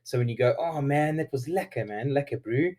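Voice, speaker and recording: A South African man in his thirties whose first language is Afrikaans talks easily as a podcast guest. He is relaxed and laughs often, with plenty of ums as he explains himself. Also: medium pitch, brisk. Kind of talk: casual interview chat.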